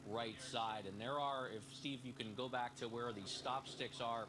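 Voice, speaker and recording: A man's voice: mid-range voice, steady tone, moderate pace. A man working as a television field reporter, ad-libbing a live report from an outdoor roadside scene. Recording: clear outdoor traffic ambience.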